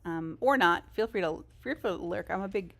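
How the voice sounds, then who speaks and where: Casual, slightly low-pitched woman streamer. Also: animated, fairly quick, gravelly. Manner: rambling, self-deprecating, informal. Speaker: live streamer. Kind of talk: casual gaming stream chat.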